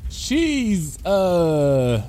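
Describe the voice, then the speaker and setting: A man acts out a flustered pony schoolteacher from a storybook, a female character. The voice is raised in pitch and hesitant, faltering warily through each phrase. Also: big pitch swings, mellow, a little hiss or hum, exaggerated voice. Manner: faltering, slow, wary.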